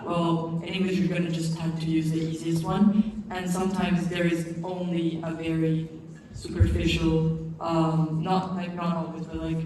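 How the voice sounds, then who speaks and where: A student speaking hesitantly and doubting himself. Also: male voice, a little high, noisy background. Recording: distant, echoey hall.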